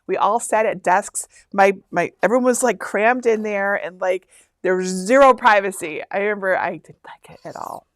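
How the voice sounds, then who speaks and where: A woman in her fifties from Michigan, chatting casually through a live stream. She rambles and talks in a comfortable middle pitch, and her voice sounds dry and close. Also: normal speed, no room sound. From Michigan, USA. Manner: chatty, rambling, laughs often. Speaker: host.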